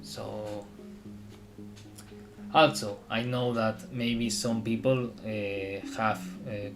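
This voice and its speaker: A man from Spain who speaks English as a second language, chatting casually on a live stream and often pausing to search for words. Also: fairly low pitch. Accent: Spanish. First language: Spanish. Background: guitar music.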